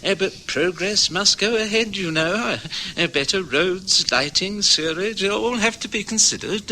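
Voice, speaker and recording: Bright, high, officious bureaucrat. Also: male voice, unhurried, a little hiss or hum, heavily acted, low-quality recording. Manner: hesitant, formal, full of uhs. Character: smooth-talking, officious male survey official. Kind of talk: old radio drama acting.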